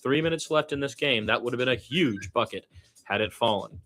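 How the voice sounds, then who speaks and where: A man with a bright, forceful voice at an average male pitch does radio basketball play-by-play. He is openly partisan and blunt with his opinions. His delivery is animated, moving between low asides and loud, high calls, and he repeats names and phrases for emphasis. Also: fairly quick. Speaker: radio play-by-play announcer for the home team.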